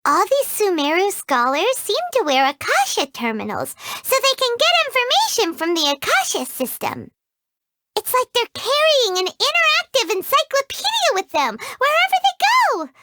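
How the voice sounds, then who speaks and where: A woman's voice: extremely high pitch, huge pitch swings, normal speed, bright, forceful tone, no echo, exaggerated voice. A woman voicing a tiny, greedy, chatty fairy companion who speaks of herself in the third person, in studio game voice acting. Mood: excited. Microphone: studio mic.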